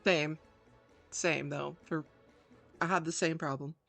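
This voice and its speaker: A woman's voice: sits low, steady tone, slow, gravelly, a bit bright, faint music behind. A woman from the Virginia mountains giving chatty, casual playthrough commentary with joking asides to viewers. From Virginia, Appalachian Mountains, US. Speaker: gaming video host.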